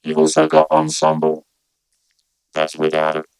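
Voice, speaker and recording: A man's voice: on the high side, big pitch swings, unhurried, vocal fry, clean tone. A man demonstrating gear on a live stream, his voice turned into a robotic, musical tone by an analog vocoder. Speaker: host of a synthesizer live stream.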